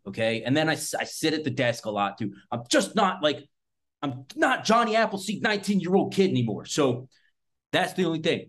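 A man of thirty-six, a self-defense instructor, talking casually and bluntly to camera, swearing often and rambling with lots of fillers. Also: mid-range voice. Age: 30s. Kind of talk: casual unscripted talk to camera. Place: small indoor room in front of a backdrop.

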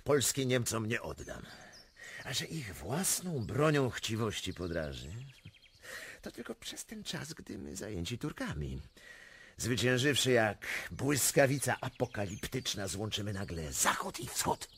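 A mocking, fatalistic Russian prince in a radio play. His low voice leaps and plunges enormously as he draws out each grandiose phrase, with faint noise behind. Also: sing-song, unhurried, fairly bright tone, exaggerated voice. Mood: scheming, bold. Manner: theatrical, swinging from boasts to grave confessions. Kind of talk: radio drama acting.

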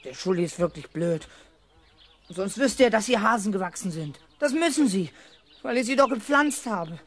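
An actor playing a cocky, scheming schoolboy who talks boastfully and emphatically in a 1970s children's radio play. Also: male voice, high voice, expressive, normal speed, fairly bright tone. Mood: boastful, sulky. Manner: boastful, emphatic, self-assured. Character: cocky, scheming young schoolboy with big fantasies.

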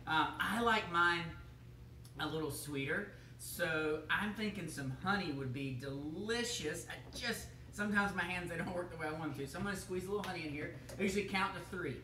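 A man plays a goofy, bumbling male TV chef who rambles and chuckles as he fumbles through a kitchen demo. The voice is bright and sharp, picked up from across an echoey kitchen. A faint room hum sits behind it. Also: high-pitched, brisk. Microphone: camera across the room. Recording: echoey room, mic from far off.

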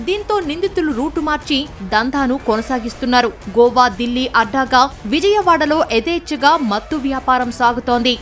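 A woman's voice: high-pitched, expressive, brisk, far from the mic, faint music behind. A woman reads scripted TV news narration as a reporter.

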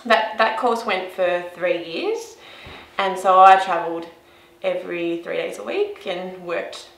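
Bright young Australian woman, echoey room. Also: fairly low pitch, measured pace, creaky. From rural Victoria, Australia. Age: 20s. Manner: chatty, cheerful, informal. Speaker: interviewee, graphic designer. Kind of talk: casual on-camera interview. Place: small office indoors, echoey.

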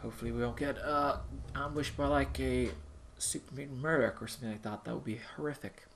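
A man's voice: medium pitch, steady tone, fairly quick. A man giving live gameplay commentary, rambling and thinking aloud as he plays. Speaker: gaming YouTuber, player. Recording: clearly noisy, game sounds behind. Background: video game ambience.

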